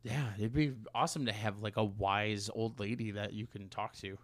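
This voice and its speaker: Low-voiced man, halting and rambling. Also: steady tone, a little hiss or hum. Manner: rambling, halting, self-interrupting; casual swearing. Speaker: podcast co-host. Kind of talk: casual chat.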